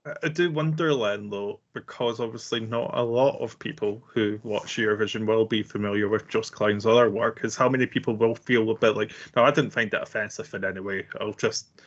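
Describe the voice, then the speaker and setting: A man co-hosting a casual music review chat, speaking in a measured way with frequent hedging. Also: lowish voice, fairly even intonation, muffled recording. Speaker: co-host reviewing songs.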